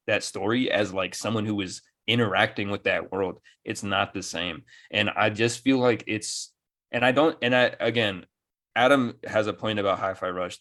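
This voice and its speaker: Male podcast co-host, lower-pitched, emphatic and opinionated, casual speech with plenty of swearing. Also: fairly bright tone. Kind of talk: casual opinionated gaming chat.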